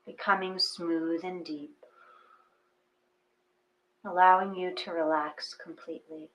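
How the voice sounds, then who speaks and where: A woman with a fairly low voice leads a guided meditation. She speaks very slowly and gently, leaving long pauses between her phrases. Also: steady tone, rough recording. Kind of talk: slow guided meditation reading.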